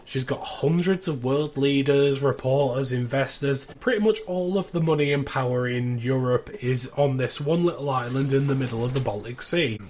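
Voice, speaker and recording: A man's voice: medium pitch, normal speed, few pauses, a little mellow. A man in his thirties chatting casually and recapping as a podcast co-host.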